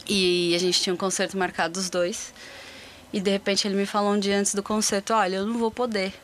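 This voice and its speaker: A woman chatting casually as a guest musician, relaxed and restarting sentences as she goes. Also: mid-range voice, fairly quick, slight background noise. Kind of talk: casual chat between songs.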